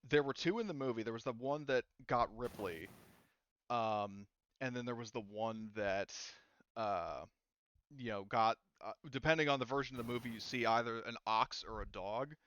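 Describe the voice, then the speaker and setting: A man running a tabletop roleplaying game, explaining things in a halting, casual, conversational way. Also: medium pitch, a bit bright, smooth. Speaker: game master.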